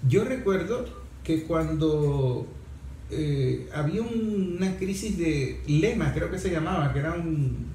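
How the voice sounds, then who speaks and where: Higher-pitched Venezuelan man hosting in an echoey room. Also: animated, slow pace, warm tone. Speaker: radio talk show host. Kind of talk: conversational radio talk about environmental issues. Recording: echoey room with background noise.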